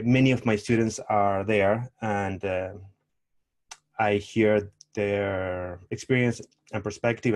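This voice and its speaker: A middle-aged man from Argentina, a tango teacher speaking over a video call in a measured, thoughtful way with frequent pauses. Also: on the low side, a little mellow. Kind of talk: online summit interview, presenting.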